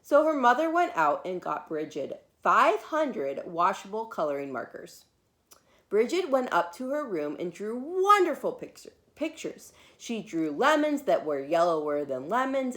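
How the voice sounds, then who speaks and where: A woman preschool teacher talking informally and a little haltingly to young children at story time. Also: mid-range voice, a bit bright.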